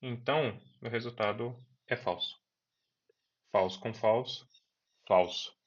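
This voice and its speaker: A man's voice: mid-range voice, slow pace. A man teaching a programming lesson, talking students through each step and repeating key words. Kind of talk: screen-recorded coding lesson. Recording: dull lo-fi voice recording.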